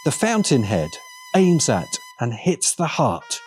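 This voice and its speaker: A man's voice: medium pitch, wildly swooping pitch, slow, a little mellow. A man delivering scripted, opinionated commentary in an emphatic, sardonic way. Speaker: video essayist. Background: music.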